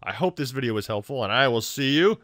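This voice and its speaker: A man hosting a casual software tutorial in an informal, wry, self-deprecating way. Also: medium pitch, lively intonation, fast talker, dry recording, clean studio sound. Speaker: tutorial host.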